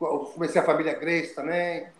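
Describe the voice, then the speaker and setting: An older man from Brazil talks as an interview guest in a voice pitched high for a man. He is animated, repeats words and restarts his sentences. The sound carries an echo from the room. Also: a little fast. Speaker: guest, retired fighter. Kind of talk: storytelling interview.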